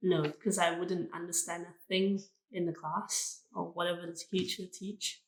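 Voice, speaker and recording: A woman's voice: on the low side, level tone, moderate pace, reverberant, poor audio. A woman in her twenties from Thailand, a native Thai speaker, giving short, plain answers in simple English as an interview guest. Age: 20s. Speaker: guest, university student.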